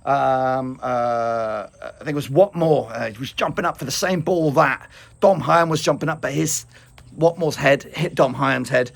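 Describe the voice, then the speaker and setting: A man losing his voice, giving an excitable, rambling reaction to a football match with many fillers and restarts. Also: fairly high pitch, creaky, no echo. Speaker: football fan vlogger. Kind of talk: casual match reaction to camera.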